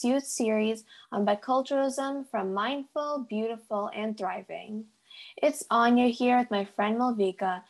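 A teenage American young woman co-hosts a youth podcast from a script. Her voice sits fairly high for a woman and has a noticeable creak at the edges. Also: a little mellow, poor audio. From United States.

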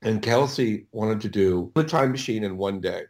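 Halting, low-voiced middle-aged American man. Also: darkish tone. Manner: halting, rambling, self-correcting. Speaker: audiobook producer, podcast guest. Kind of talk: casual interview storytelling.

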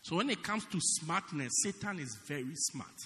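Lively, bright-voiced man preaching. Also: on the high side, normal speed, in a large hall. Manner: dramatic, swings from loud shouting to quiet asides. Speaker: pastor. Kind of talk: sermon. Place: church.

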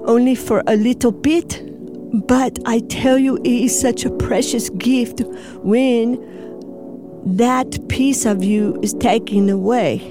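A woman with a foreign-sounding accent tells a personal story in an emotional, halting manner. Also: fairly high pitch, steady tone, over music. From Indiana, USA. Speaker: storyteller, interviewee.

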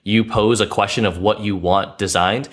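Very deep-voiced man explaining. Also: fairly even intonation, a bit bright. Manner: measured, explanatory, with fillers. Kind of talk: casual chat.

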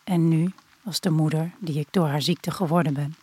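An actress playing a grieving daughter who narrates an audio drama in a measured, intimate, solemn way. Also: female voice, low-pitched, fairly even intonation, dark tone, slightly rough. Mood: sorrowful. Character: grieving daughter narrating her dying mother's last days. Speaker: narrator actor. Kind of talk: literary first-person audio drama narration.

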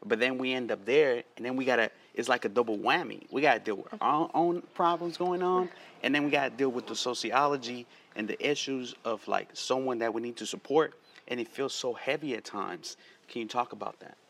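A man's voice: mid-range voice, fairly quick, a bit bright. A man hosting a casual interview outdoors, friendly and loose, often repeating words as he starts questions. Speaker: interviewer, host.

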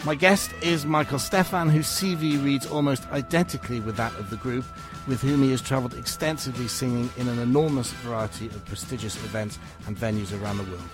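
A man presenting a radio music show, reading a scripted introduction and asking interview questions in a measured, formal way. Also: mid-range voice, steady tone.

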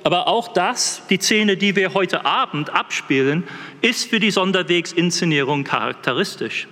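A man with an English accent, speaking German as a second language, gives a formal academic lecture from a prepared text, measured and with occasional slips. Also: high-pitched, expressive, pushed and bright, big-room sound. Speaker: guest lecturer. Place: lecture hall.

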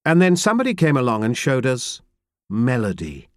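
A man reading a novel aloud in first person, with measured, reflective and grave storytelling from a close studio mic. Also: medium pitch, huge pitch swings, dry recording. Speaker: audiobook narrator. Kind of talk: first-person literary narration.

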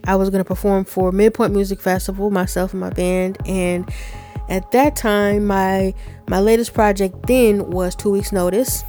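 Brisk woman podcast host, faint music. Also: medium pitch, a little mellow. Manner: brisk, spells out web addresses letter by letter. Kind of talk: podcast hosting.

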